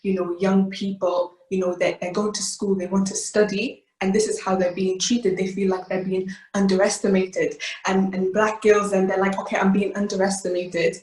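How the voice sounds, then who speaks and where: A woman's voice: mid-range voice, flat delivery, normal speed, darkish tone, roomy sound, poor audio. Earnest teenage woman. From Wales. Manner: earnest, many fillers and restarts. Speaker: youth ambassador. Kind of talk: personal talk to an online event.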